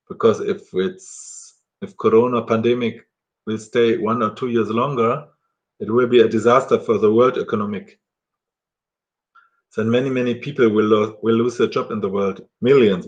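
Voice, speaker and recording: German man speaking halting English. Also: lowish voice, dull audio. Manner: halting, repeats words, self-correcting English. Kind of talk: casual talk to camera.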